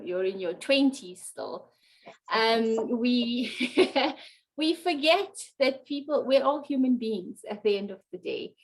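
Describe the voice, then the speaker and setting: A woman's voice: on the high side, rough recording. A woman from South Africa moderating a panel discussion, with a chatty, complimentary way of talking.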